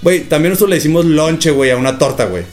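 Fast-talking slangy Mexican young man. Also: on the high side, darkish tone, faint music behind. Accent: Mexican, from Guadalajara. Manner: rapid, slangy, swears a lot. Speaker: podcast co-host. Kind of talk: casual podcast chat.

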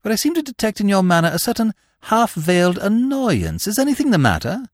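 Courteous, imperturbable gentleman done by a male reader, comic storybook, formal understated wit, lilting pitch, dry recording. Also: wildly swooping pitch, a little fast, no echo, exaggerated voice. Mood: solicitous. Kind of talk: comic audiobook character.

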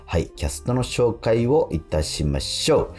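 A man's voice: mid-range voice, very animated, normal speed, darkish tone, soft background music. A man hosting a radio show in a casual, chatty style.

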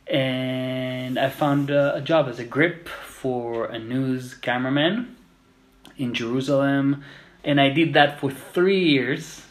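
A man in his thirties from Israel, speaking as a podcast guest in short, halting, thoughtful phrases. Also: medium pitch, steady tone. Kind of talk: conversational interview. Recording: roomy echo.

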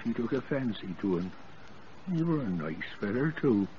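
Elderly English West Country countryman, low, rustic and kindly, old radio drama with dull, noisy sound. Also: very animated, normal speed. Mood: sombre, wistful. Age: older. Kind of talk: 1940s radio drama acting.

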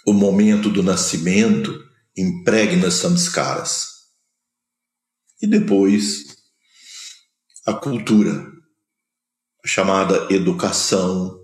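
Slow, low-voiced teacher with a Minas Gerais accent. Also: male voice, roomy sound. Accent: Brazilian Portuguese, Minas Gerais. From Minas Gerais, Brazil. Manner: slow, measured, pausing between phrases. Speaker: spiritual teacher. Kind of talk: spoken lecture on scripture.

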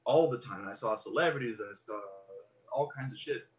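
An American man chatting casually on a podcast, telling personal stories in an animated, rambling, slangy way and swearing freely. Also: medium pitch, moderate pace, highs cut off, a crowd in the background. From Los Angeles area, United States. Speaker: podcast host.

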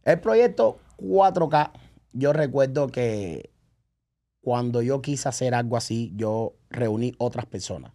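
Man, mid-range voice, podcast guest, event promoter, casual animated storyteller, acts out dialogue, checks you follow. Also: medium pitch, slow pace.

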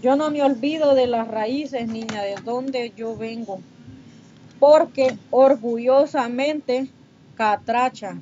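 A woman's voice: a little high, slow, mellow. A woman with a Honduran Spanish accent chatting casually with viewers on a livestream. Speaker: livestreamer chatting with viewers while doing makeup. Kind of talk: casual chat reading and answering comments. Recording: muffled, noisy livestream audio.

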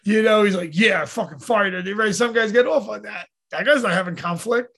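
High-voiced New man chatting. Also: fairly quick, creaky, fairly bright tone, slightly rough. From New York. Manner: rambling, swears freely, acts out his own quotes. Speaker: podcast host, mixed martial arts fighter. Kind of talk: casual profane chat.

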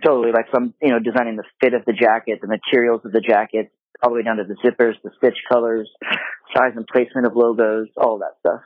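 A man in a relaxed interview who grew up in Japan, talking through his work as an apparel designer with lots of casual fillers. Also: medium pitch, fast talker, no echo. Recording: dry, phone-like remote call audio.

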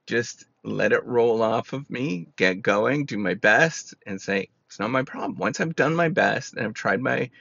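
A man talking through his feelings in a rambling personal monologue, full of fillers, with a voice he calls squeaky. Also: a little slow, gravelly, hardly any pauses, fairly bright tone, breathy edge, no room sound, highs cut off. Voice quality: says he has a squeaky voice. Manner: rambling, self-reassuring, many "you know" fillers.

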